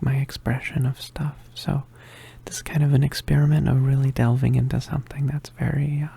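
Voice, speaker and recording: Very breathy, hesitant ASMR creator. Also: mid-range voice, dark tone. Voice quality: breathy, often whispered. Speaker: ASMR audio creator introducing a roleplay. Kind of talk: soft-spoken ASMR intro, casual.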